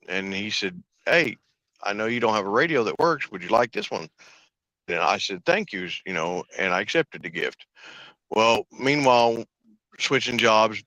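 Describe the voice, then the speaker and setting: A man's voice: fairly low pitch, bright, forceful tone, dry recording, rough recording. A man, a truck driver, chatting on a live show and telling a story about getting his radio repaired, giving emphatic advice to viewers. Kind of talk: casual chat, personal anecdote.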